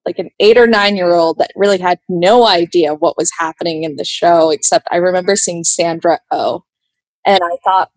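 A woman's voice: fairly low pitch, moderate pace, dry recording, low-quality recording. A woman whose first language is Vietnamese, from New Mexico, telling her life story as a podcast guest in a casual, thoughtful way with many fillers. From New Mexico, USA. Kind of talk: reflective personal storytelling. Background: soft music.

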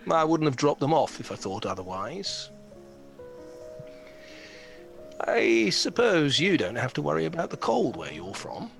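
A man's voice: fairly high pitch, big pitch swings, normal speed, gravelly, faint music behind. A man playing a pompous, prickly beekeeper in an audio drama, pedantic and condescending. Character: pompous, prickly male beekeeping club member. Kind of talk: naturalistic audio drama acting.

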